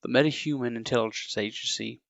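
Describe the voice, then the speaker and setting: A man's voice: mid-range voice, fairly even intonation, fast, vocal fry, fairly bright tone, no echo, lo-fi sound. An actor playing a scheming male agency boss who gives cryptic commands in an audio drama.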